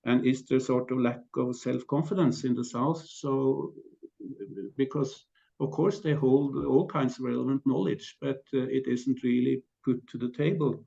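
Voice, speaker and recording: A man with a Norwegian accent giving a conference presentation, speaking haltingly with repeated words and frequent throat clearing. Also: mid-range voice, fairly even intonation, dark tone, dull audio. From Norway.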